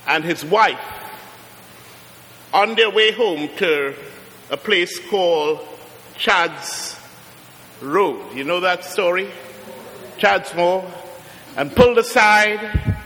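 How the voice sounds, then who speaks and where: A middle-aged man from Trinidad with a Trinidadian accent, preaching a sermon as a guest preacher, slowing down and rising to emphatic peaks. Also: high-pitched, bright, forceful tone. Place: large church. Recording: long church reverb.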